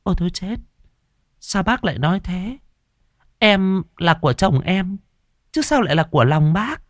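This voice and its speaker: Casting: flustered chattering village woman, high and quick, played by a man. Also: expressive, no room sound, clean studio sound. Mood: startled.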